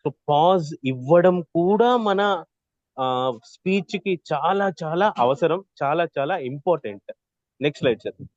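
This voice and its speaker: A man teaching an interactive online class as a trainer, explaining with examples and often checking in with a quick "right?". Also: a little high, lively intonation, darkish tone, no echo, dull audio. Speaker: voice modulation trainer.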